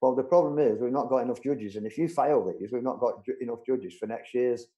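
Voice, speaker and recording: Man, middle-aged, warm mellow voice, chatty storyteller, fillers and restarts. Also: mid-range voice, brisk, highs cut off. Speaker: budgerigar show judge, interview guest. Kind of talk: casual storytelling interview.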